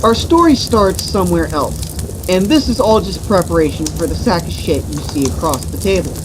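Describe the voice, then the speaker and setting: A woman telling an improvised comic fantasy story among friends in a deliberate, profane, mock-epic manner. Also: lowish voice, lively intonation, background noise. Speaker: storyteller and host.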